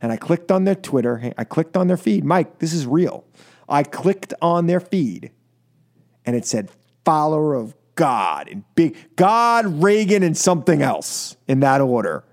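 Male American radio host, voice a bit above average pitch. Fired-up, exasperated political monologues with punchy repeats. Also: big pitch swings, unhurried, a little mellow. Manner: emphatic, exasperated, repeats phrases for stress. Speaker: talk radio show host. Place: radio studio.

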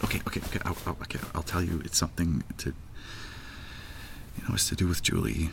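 Very deep, gravelly, evasive man. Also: lively intonation, brisk, noisy background, exaggerated voice. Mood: flustered, evasive. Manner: hesitant, stammering, low-key. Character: evasive, sheepish middle-aged actor hiding things from his lover. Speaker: actor in an audio drama. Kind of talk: naturalistic satirical audio drama acting.

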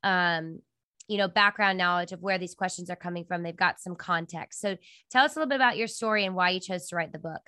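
A woman's voice: fairly low pitch, fast talker, sharp tone, clean tone, dry recording, studio quality. A woman hosting a podcast interview over a video call, talking in a chatty way with lots of fillers, her voice nasal from a cold. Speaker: podcast host. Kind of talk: casual interview chat.

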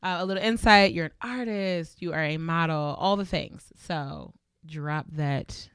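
A woman hosts a podcast in a slightly low voice, recorded close and dry. She chats casually and animatedly, with lots of slang and free swearing. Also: unhurried, fairly bright tone, smooth, dry recording. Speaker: podcast host. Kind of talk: casual chat.

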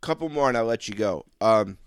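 Fast chatty host guy, smooth clear voice, long rambling questions. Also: on the low side, lively intonation, dry recording, studio quality. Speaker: podcast host. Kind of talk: casual interview chat.

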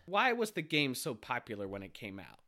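A man with a bright, forceful voice hosts a relaxed podcast conversation. He sounds a little stuffy from a cold and keeps things moving with questions and easy laughter. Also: mid-range voice, a little fast. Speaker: podcast host. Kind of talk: casual podcast chat.

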